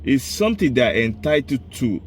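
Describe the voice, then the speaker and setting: Male host of a talk show, mid-pitch voice, keeps backing up his guest, upbeat. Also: very animated, moderate pace, a little hiss or hum. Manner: eager, frequently affirms the guest. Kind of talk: conversational discussion.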